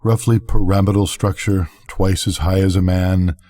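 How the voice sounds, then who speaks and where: An older man narrates a story in a deep, low register. His tone is dark and mellow. He reads in a measured, literary manner suited to a bedtime tale. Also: fairly even intonation. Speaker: storyteller reading to a grandchild. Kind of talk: bedtime story narration of classic science fiction.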